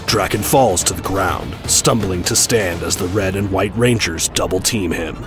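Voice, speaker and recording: A deep-voiced man reads scripted action narration as the storyteller of an audio drama. Loud music runs underneath his voice. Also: moderate pace.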